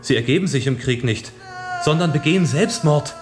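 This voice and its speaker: An actor playing a sensitive, idealistic young revolutionary in a German radio play, speaking earnestly and with passion. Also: male voice, medium pitch, expressive, normal speed, reverberant, over music, lightly acted. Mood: determined, troubled. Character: idealistic, sensitive young male revolutionary who loves life.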